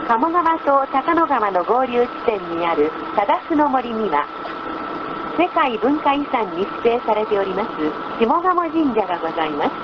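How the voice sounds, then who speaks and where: Female transit announcer, formal and scripted, fairly high voice, dull lo-fi sound inside a noisy bus. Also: big pitch swings, moderate pace. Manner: polite, formal. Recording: muffled recording inside a noisy moving bus. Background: loud vehicle noise.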